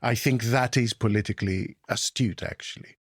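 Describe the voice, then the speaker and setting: A man co-hosting a political panel podcast, making reasoned points in a measured way and qualifying them as he goes. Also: mid-range voice, no room sound, clean studio sound. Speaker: podcast co-host.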